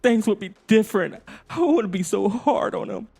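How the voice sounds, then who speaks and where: A man's voice: high voice, animated, moderate pace, creaky, mellow, slightly rough, faint music behind, voice pushed up, heavily acted. A man voicing an earnest, remorseful father in a children's storybook, with a plaintive, emotional delivery. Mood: sad, regretful. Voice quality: raised, plaintive tone. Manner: pleading, emotional.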